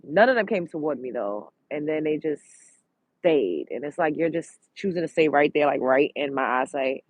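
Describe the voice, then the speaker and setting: A woman's voice: low-pitched, animated, moderate pace, dry recording, narrow, tinny audio. A congested woman from Brooklyn, chatting bluntly and profanely on a live stream, outdoors on a street, reading viewer comments. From Brooklyn, New York.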